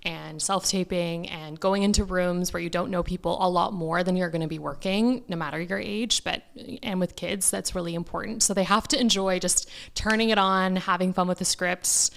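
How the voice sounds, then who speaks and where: Creaky-voiced Canadian woman, lowish pitch. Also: steady tone, moderate pace, hardly any pauses, a bit bright. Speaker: talent agent, interview guest. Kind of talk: casual conversational interview.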